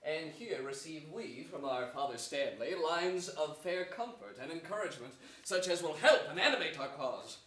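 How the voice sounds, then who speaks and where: An actor playing a noble, hopeful young rebel earl, declaiming earnestly in a Shakespearean stage reading. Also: male voice, a little high, lively intonation, normal speed, few pauses, fairly bright tone. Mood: rousing. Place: theater stage before an audience, indoors. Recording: echoey theater, distant microphone.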